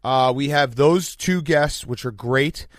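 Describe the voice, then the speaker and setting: A man's voice: mid-range voice, slow, no echo, studio quality. A sleep-deprived man hosting a podcast with casual, blunt, slangy sports banter and joking. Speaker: podcast host.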